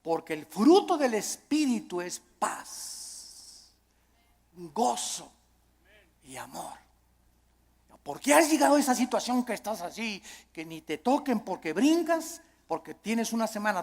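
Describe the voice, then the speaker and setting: Mexican guy preaching a sermon, emphatic, repeats himself and asks the crowd rhetorical questions, big echoey hall reverb. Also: sits high.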